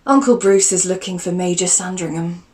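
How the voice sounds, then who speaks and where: Respectful young nephew, read by a female narrator, lowish voice, mic a little off. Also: normal speed, a little mellow, recorded from a distance, lightly acted. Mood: polite.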